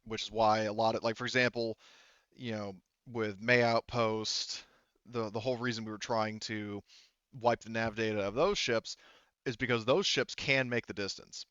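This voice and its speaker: A man with a smooth, fairly low voice runs a tabletop game. He explains the lore casually, stopping and restarting often. The sound is dry and a little muffled. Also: fairly even intonation, fairly bright tone, no echo. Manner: halting, many restarts and "you know". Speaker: game master. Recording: slightly dull, dry close mic.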